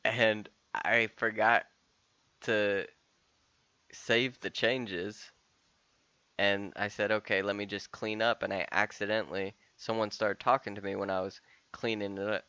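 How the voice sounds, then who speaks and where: A man's voice: fairly low pitch, fairly even intonation, a little slow, sharp tone, smooth, no room sound, muffled recording. A man of about thirty talking casually and candidly in a rambling solo podcast monologue, often restarting and swearing. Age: 30s. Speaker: podcast host.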